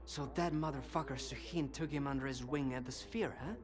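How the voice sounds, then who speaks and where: A man's voice: mid-range voice, a little fast, natural voice. An actor playing a cynical, worldly male informant in a video game, talkative and sardonic, with an insinuating conversational manner. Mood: sly, probing. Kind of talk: video game dialogue acting.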